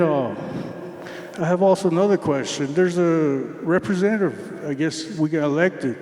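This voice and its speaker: A man's voice: a little high, a little mellow. Earnest man speaking up in an echoey hall. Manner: earnest, emphatic, repeats words while searching. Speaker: community member raising questions. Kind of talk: public comment at a community meeting. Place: large meeting hall. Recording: echoey hall with long reverb.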